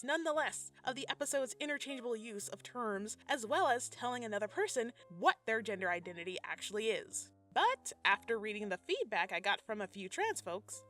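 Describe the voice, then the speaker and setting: Female commentator, sassy and conversational, bright forceful voice, lively intonation. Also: fairly high pitch. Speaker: video essay narrator. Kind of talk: scripted commentary with slangy asides. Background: background music.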